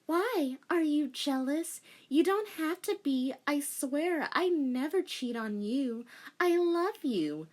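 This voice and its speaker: A woman's voice: high-pitched, animated, takes it slowly, darkish tone, pitched above the performer's own voice. A woman voicing a young female warrior assassin from an anime fan fiction, theatrical and overwrought. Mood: pleading.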